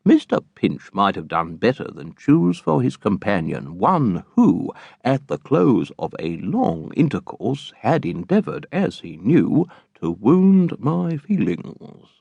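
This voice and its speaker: Man voicing a pompous, sanctimonious father, swooping pitch. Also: very animated, gravelly, darkish tone, slightly rough, no room sound, highs cut off, heavily acted. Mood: wounded dignity. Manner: unctuous, slow and distinct, moralising. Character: pompous, sanctimonious middle-aged widowed father, an architect.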